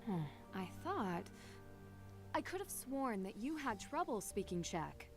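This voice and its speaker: An actress playing a young amateur detective in a video game, inquisitive, direct and polite. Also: female voice, a little high, very animated, a little slow, music in the background. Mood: polite, pointed.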